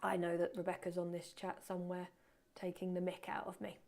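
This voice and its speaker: Chatty woman with a gravelly voice. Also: on the low side, completely flat delivery, a little fast. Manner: chatty, self-deprecating, mutters quietly to herself while working. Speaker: photography instructor. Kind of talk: live webinar teaching. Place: indoors at a computer.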